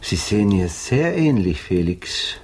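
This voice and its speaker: An actor playing a weary, guarded baron and former officer in a German radio drama, terse and measured in an aristocratic manner. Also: male voice, lowish voice, sing-song, darkish tone. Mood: terse, melancholy. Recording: clearly noisy 1980s radio drama recording.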